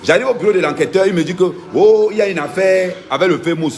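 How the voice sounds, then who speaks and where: A man's voice: high-pitched, fast talker. A man, an activist vlogger giving an insistent, emphatic first-person account and repeating his key points.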